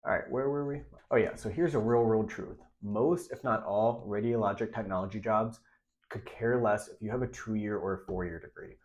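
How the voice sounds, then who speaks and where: A man's voice: lowish voice, moderate pace, darkish tone, highs cut off. Congested, nasal young man giving advice. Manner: direct, emphatic, repeats key points. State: congested, nasal from a recent illness. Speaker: radiology tech creator giving career advice. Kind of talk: casual advice vlog to camera.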